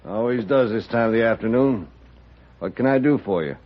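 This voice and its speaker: On a muffled vintage radio recording, a man plays a gruff frontier railroad boss. He is cocky and scornful, talking in a blunt, folksy way at a middle pitch, in 1950s Western radio drama style. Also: a little fast, lightly acted. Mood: casual, folksy.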